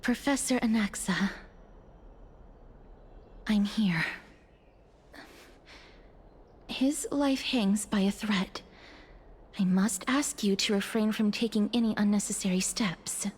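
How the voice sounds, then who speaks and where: An actress playing a solemn, protective heroine in a video game, earnest and formal with a restrained delivery. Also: female voice, mid-range voice, a little slow, pauses often, slightly rough. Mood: urgent, restrained. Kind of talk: dramatic video game voice acting.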